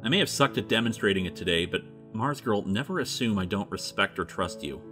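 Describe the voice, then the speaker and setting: A man's voice: fairly low pitch, animated, fairly quick, pushed and bright, soft background music. A man playing an earnest, self-critical leader of an embattled group in a web film, speaking emphatically. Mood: remorseful, sincere. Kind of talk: scripted web film dialogue.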